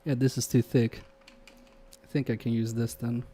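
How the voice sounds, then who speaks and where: Polish-native guy, warm low-key voice, rambling to chat and muttering over a repair bench. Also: little pitch movement, normal speed. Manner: chatty, reads chat aloud, trails off muttering while working. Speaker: livestream repair host. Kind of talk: casual live-stream chat while repairing.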